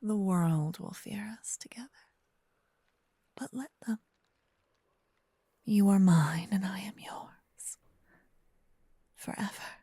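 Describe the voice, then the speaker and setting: A woman voicing a gentle, possessive, seductive female vampire lover in a breathy, intimate whispered ASMR roleplay, close to a binaural microphone. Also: medium pitch, no echo, heavily acted. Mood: devoted, soothing. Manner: slow, intimate, lingering delivery with solemn romantic phrasing. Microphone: close binaural microphone, ear to ear.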